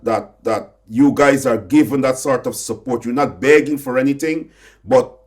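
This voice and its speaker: A man's voice: mid-range voice, darkish tone. A man with a Guyanese accent asks his guest questions in long, winding turns. He circles back, repeating words and restarting as he goes. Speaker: interviewer. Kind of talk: conversational interview.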